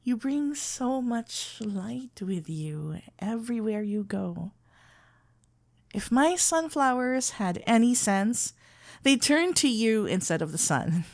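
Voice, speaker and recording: A woman playing a shy, tender florist best friend in love, talking haltingly and awkwardly in an intimate ASMR roleplay. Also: fairly high pitch, expressive, full character voice. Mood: tender, admiring. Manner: halting, stammering, awkwardly earnest. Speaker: audio roleplay performer.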